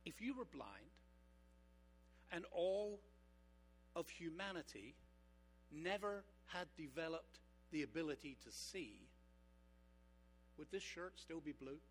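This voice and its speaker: A man preaching a sermon as pastor, engaging his congregation with rhetorical questions and slowing down for emphasis. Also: a little high, slight background noise. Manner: slows down for emphatic points.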